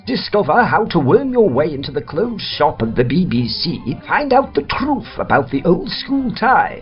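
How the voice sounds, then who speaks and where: An actor playing a smarmy, mock-earnest announcer selling a book in a scripted spoof radio advert. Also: medium pitch. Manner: salesy, scripted. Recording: dull radio-like sound over music.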